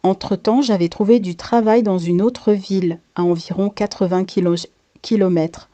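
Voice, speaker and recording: A woman's voice: medium pitch, steady tone, normal speed, dark tone, no echo. A woman reading a scripted first-person life story as its narrator.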